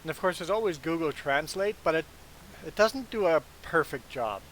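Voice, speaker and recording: A man from Canada whose first language is Low German, chatting casually as he hosts a livestream of a farming game. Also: lively intonation, normal speed, fairly bright tone, low-quality recording. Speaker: livestream gaming host. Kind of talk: casual chat while streaming a farming game. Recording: clear background hiss.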